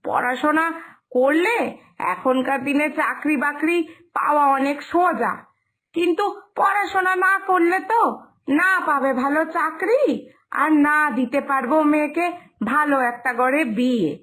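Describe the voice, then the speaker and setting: A woman's voice: high-pitched, normal speed, a bit bright. A woman voicing a fretful village mother in a cartoon moral story, lamenting and admonishing in an exasperated way. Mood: worried.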